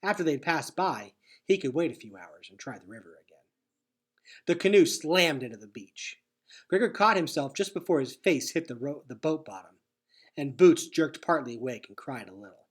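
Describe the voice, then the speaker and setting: Male read-aloud narrator for children's fiction: fairly high, slightly rough voice, expressive and suspenseful. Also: moderate pace.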